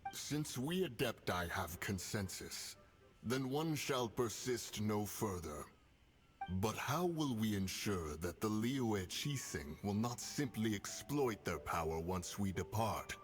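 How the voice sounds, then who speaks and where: A man's voice: lowish voice, very animated, takes it slowly. A man voicing a proud, wary ancient stag spirit in a video game, speaking in a solemn, formal, archaic way. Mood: stern, wary. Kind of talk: video game character acting.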